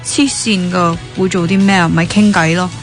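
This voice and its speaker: A woman's voice: on the low side, fairly even intonation, moderate pace, natural voice. An actress with a Hong Kong Cantonese accent playing an inquisitive, teasing young woman who dances, in a radio drama. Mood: playful, teasing. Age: young adult. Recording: music playing behind the dialogue.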